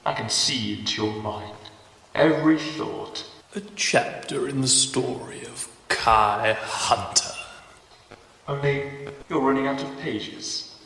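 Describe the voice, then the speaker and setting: A man playing an ancient, menacing demon who patiently tempts with bargains, theatrical and drawn-out in an audio drama, with cavernous reverb on his voice. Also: mid-range voice, bright, forceful tone. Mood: ominous. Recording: echoey cavernous reverb, distant, faint hiss.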